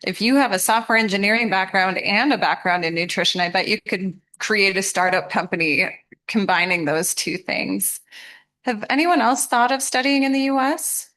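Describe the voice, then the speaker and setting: A woman's voice: mid-range voice, moderate pace, fairly bright tone. A woman presenting a program to students, interactive and encouraging, often affirming what they say.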